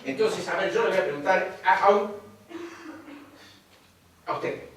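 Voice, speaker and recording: An actor playing a 1950s radio show host who briskly and formally calls on his guests. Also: high-pitched, a little hiss or hum. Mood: ceremonious. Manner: brisk and ceremonious, calling on guests. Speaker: radio host figure in a stage play. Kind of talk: stage acting. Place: theatre stage. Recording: roomy, distant stage recording.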